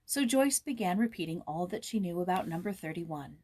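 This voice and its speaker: A woman narrating a storybook aloud in an expressive, dramatic reading style. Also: fairly low pitch, a little fast, husky. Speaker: story reader, voice actor.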